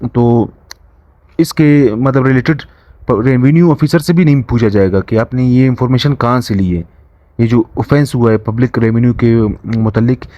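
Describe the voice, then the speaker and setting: Mellow young man explaining law. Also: medium pitch, dry recording, dull audio. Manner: halting, repeats and restarts phrases while explaining. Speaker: law lecturer. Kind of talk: explanatory lesson, reading aloud and paraphrasing.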